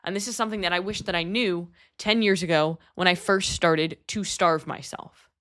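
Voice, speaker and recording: A woman's voice: lowish voice, fairly even intonation, moderate pace, fairly bright tone. Earnest, candid woman of about thirty. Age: 30s. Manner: earnest and candid, with casual swearing. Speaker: podcast host. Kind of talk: personal podcast monologue.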